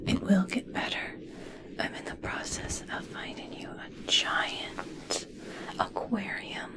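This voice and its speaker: A woman playing an awestruck, obsessed scientist in a whispered ASMR roleplay, speaking in a hushed, halting, gently fascinated way. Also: mid-range voice, natural voice, rough recording. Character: obsessed, awestruck female scientist studying merpeople.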